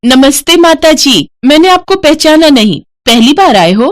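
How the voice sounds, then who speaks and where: A woman's voice: high-pitched, sing-song, moderate pace, pitched above the performer's own voice. A woman voicing a meek, respectful, hardworking housemaid in a moral story cartoon. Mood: polite, timid. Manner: respectful, deferential.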